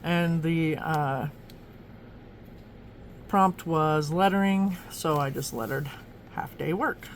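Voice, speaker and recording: A woman's voice: sits low, takes it slowly, long pauses, a little hiss or hum. Chatty woman from Oklahoma, rambling narration. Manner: chatty and rambling, many ums and restarts. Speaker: journaling vlogger. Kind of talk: casual narration flipping through a journal.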